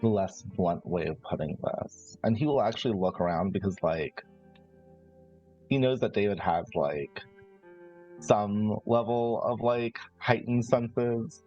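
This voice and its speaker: Low male voice, dull lo-fi sound, music behind, tabletop player, casual improvised roleplay, thinking aloud. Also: unhurried, warm tone.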